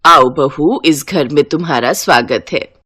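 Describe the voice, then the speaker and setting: A woman voicing a kindly middle-aged mother-in-law in a cartoon moral story, speaking in a gentle, motherly, instructing way. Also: low-pitched, measured pace, slightly rough, pitched below the performer's own voice, heavily acted. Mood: warm, caring. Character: kindly welcoming middle-aged mother-in-law greeting her new bride.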